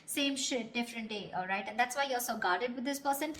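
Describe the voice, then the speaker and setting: Woman, higher-pitched voice, tarot reader, casual spoken reading, conversational, blunt, sweary. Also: brisk, a bit bright, a little hiss or hum.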